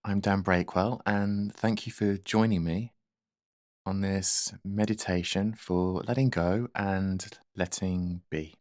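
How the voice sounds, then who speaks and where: A man leading a guided meditation in a gentle, soothing, unhurried manner. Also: low-pitched, steady tone, no room sound, clean studio sound.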